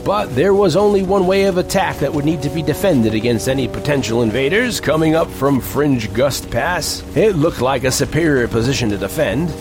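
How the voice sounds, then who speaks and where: A man playing an earnest reporter who narrates an eerie western expedition in a deliberate, descriptive way for an audio drama. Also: mid-range voice, close to an everyday voice. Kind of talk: first-person scripted audio drama narration. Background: music.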